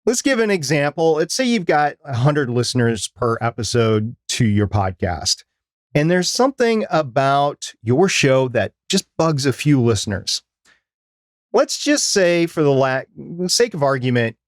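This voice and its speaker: A man's voice: medium pitch, sing-song, unhurried, dry recording, studio quality. A man co-hosting a podcast, explaining points in a conversational way. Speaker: podcast co-host.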